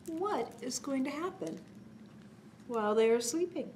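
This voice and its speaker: A woman's voice: sits high, expressive, unhurried. A woman in her forties hosting a children's story time, talking to young listeners with exaggerated, prompting questions. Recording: clear background noise.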